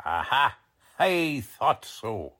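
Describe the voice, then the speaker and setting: Cocky, swooping 1940s police lieutenant. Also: male voice, mid-range voice, very animated, extremely slow, pushed and bright, exaggerated voice. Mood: smug. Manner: brusque, slangy, ungrammatical tough-cop talk. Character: cocky, condescending male police lieutenant, brusque 1940s gumshoe. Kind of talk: 1940s radio drama acting.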